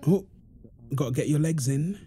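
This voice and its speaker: A man's voice: a little high, lively intonation, normal speed, dark tone, close to an everyday voice. A man playing a playful, doting young father in an ASMR roleplay, teasing and affectionate with his family. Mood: gentle, coaxing. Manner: teasing, affectionate, laughing easily. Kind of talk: ASMR roleplay, improvised casual talk.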